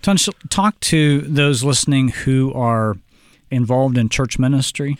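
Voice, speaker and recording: A man from Oklahoma leads a Bible discussion in a measured, even delivery and reads scripture passages aloud. His voice is of middle pitch. It is recorded close and dry. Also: smooth, no echo. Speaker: podcast host, Bible teacher.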